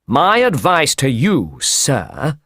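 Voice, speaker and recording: A man voicing a shrewd, blunt male detective in an audiobook, stern, measured and coolly commanding. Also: mid-range voice, huge pitch swings, creaky, a bit bright, slightly rough, no room sound, studio quality, full character voice. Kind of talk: audiobook character voice.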